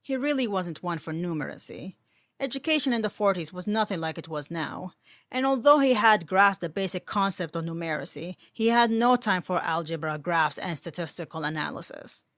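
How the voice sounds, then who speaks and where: A woman's voice: lowish voice, expressive, normal speed, a bit bright, dry recording, studio quality. A woman reading fan fiction aloud as a narrator, in a scripted storytelling style.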